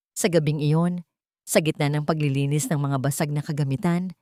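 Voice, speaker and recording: A woman narrating a dramatic romance story in an earnest, sentimental, measured way. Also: sits low, darkish tone, dry recording, studio quality. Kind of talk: dramatic scripted narration of a Tagalog love story.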